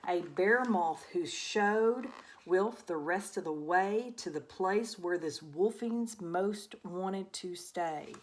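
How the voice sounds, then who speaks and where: A woman reading a rhyming children's storybook aloud as an expressive narrator. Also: lowish voice, takes it slowly, slight background noise. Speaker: storybook reader.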